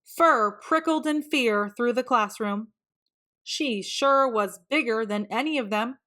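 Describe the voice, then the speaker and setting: A woman reading a children's storybook aloud in an expressive, deliberate way with dramatic emphasis. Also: fairly high pitch. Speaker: children's book reader.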